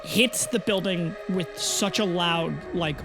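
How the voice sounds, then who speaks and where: Male game master, high voice, casual improvised fantasy narration, music playing prominently underneath. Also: slow. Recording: loud music bed behind the voice.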